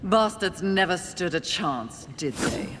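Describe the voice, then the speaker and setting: A woman's voice: on the low side, expressive, slow, a bit bright, a little hiss or hum. A woman voicing a stern, proud, scornful female warrior in a video game, with a curt and commanding manner. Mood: weary contempt. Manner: imperious, curt, contemptuous. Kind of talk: video game cutscene acting.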